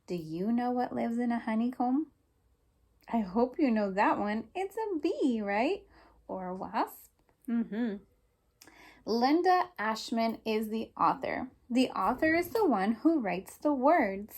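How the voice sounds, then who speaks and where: A woman's voice: on the high side, animated, slow pace, a little mellow. A woman teacher reading a picture book aloud to young children, explaining simply and prompting them with questions.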